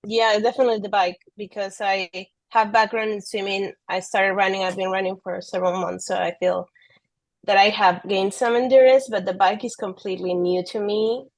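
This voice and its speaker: A woman in her thirties from Peru, speaking English as an as a podcast guest, soft-spoken and hesitant with frequent ums and light laughs. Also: mid-range voice, steady tone, normal speed, no echo, rough recording. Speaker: guest athlete. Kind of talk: casual interview.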